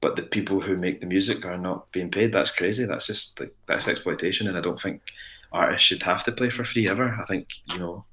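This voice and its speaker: A Scottish man with a very deep, low voice speaks in a relaxed interview. He talks earnestly and rambles, restarting his sentences often as he works through his thoughts. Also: a little fast. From Ayrshire, Scotland. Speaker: musician guest. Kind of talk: casual interview chat.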